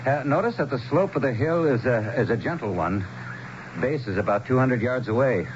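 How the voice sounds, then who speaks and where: An actor playing an earnest, anxious, educated town leader, speaking formally and haltingly as he explains, in a radio drama. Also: mid-range voice, expressive, close to an everyday voice. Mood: earnest, eager. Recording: muffled, noisy 1970s radio broadcast.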